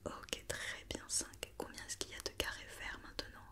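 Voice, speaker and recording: Whispering woman, ASMR doctor roleplay. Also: normal speed. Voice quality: soft breathy whisper. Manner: patient, methodical, repeating instructions and questions. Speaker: ASMR performer playing a doctor examining a robot.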